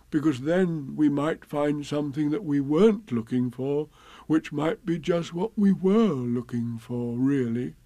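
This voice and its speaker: Man voicing a storybook bear, slow, gentle, thoughtful, dry sound. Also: a little high, no echo, close to an everyday voice.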